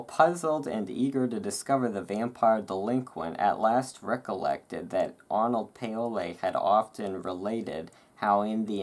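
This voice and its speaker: Casting: low-pitched, slow-paced reader for relaxed ASMR storytelling, with chatty, informal commentary. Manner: informal, chatty, musing asides between read passages. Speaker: host reading aloud. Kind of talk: relaxed ASMR-style reading of vampire history with casual asides.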